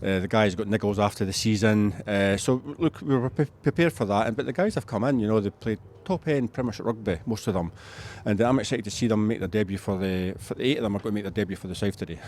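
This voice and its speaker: Quick, low-voiced man, rugby coach. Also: a little mellow. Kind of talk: casual pre-match interview.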